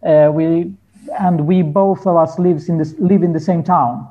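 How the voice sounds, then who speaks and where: A man's voice: on the high side, fairly even intonation, a little slow, mellow, dull audio. A man chatting as an interview guest, searching for words in English. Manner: hesitant, searching for words in non-native English. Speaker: interview guest, folk musician. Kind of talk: casual conversational interview.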